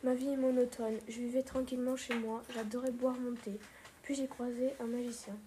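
A woman playing a fifty-year-old male hobbit who loves his tea, answering plainly and calmly in an amateur role-play interview. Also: a little high, brisk, slight background noise, close to an everyday voice.

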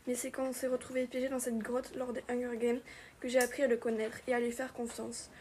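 A woman's voice: on the high side, level tone, a little fast, a little mellow, slight background noise, lightly acted. A woman playing a young archer heroine, answering earnestly and plainly.

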